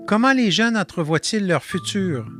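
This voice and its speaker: A man with a Quebec French accent narrating a documentary about his artist residency in a calm, measured voice-over. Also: high voice, music in the background.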